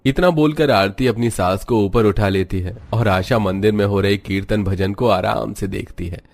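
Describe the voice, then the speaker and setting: A man's voice: on the low side, moderate pace, gravelly, darkish tone. A man telling a scripted moral story as its narrator.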